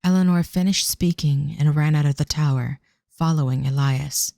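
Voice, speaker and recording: A woman's voice: low-pitched, moderate pace, creaky, dark tone, dry recording, clean studio sound. A woman narrating a scripted audio drama as a storyteller.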